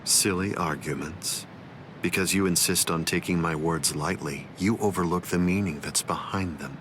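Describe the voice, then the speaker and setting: An actor playing a calm, menacing villain swordsman in an anime video game, speaking in a measured, formal, condescending way. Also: deep voice, background noise. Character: calm, menacing male villain swordsman, coldly superior schemer. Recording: loud music behind the voice. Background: music.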